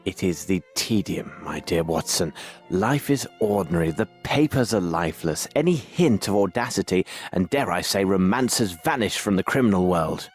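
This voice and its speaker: An actor playing a brilliant, world-weary Victorian detective in a video game, with measured, theatrical, formal phrasing. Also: male voice, low voice, animated, soft background music. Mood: bored, gloomy. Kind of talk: video game cutscene acting.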